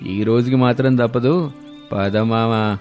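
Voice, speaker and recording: A man's voice: mid-range voice, steady tone, slow, darkish tone, soft background music, natural voice. A man voicing a pushy, chummy drinking buddy in a moral story, slangy and cajoling. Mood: insistent. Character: pushy, chummy male drinking buddy, coaxing his friend. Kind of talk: Telugu moral story dialogue.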